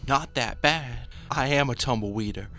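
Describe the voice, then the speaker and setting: An actor playing a gloomy, self-pitying groundskeeper in a radio drama, with a melodramatic, drawn-out delivery. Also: male voice, mid-range voice, creaky, sharp tone. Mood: mournful.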